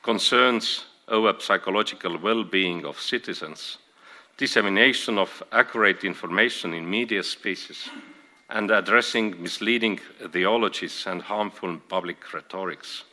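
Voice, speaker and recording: A man from Estonia with an Estonian accent, an archbishop giving a measured, formal conference speech. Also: low voice, bright, forceful tone. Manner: measured, reads from prepared text with small restarts. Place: conference hall.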